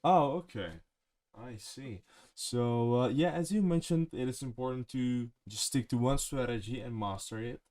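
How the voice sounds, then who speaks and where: A man's voice: mid-range voice, normal speed, darkish tone. A man hosts an interview in English. He is friendly and keeps the questions going. He leans on "uh" between phrases as he talks. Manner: friendly, asks questions with frequent "uh" fillers. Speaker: interview host. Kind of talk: hosted interview over video call.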